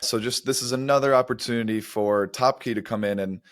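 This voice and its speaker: A man's voice: mid-range voice, fairly even intonation, brisk, no echo, studio quality. A man co-hosting a podcast, giving conversational commentary on business. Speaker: podcast co-host.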